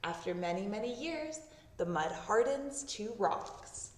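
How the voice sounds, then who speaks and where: A woman narrates a children's storybook aloud. Her delivery is expressive and very animated in pitch. The room is clearly echoey and the microphone sits a little way off. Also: unhurried, slight background noise. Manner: expressive, acting out sound words. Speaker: storybook reader. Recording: roomy echo, distant microphone.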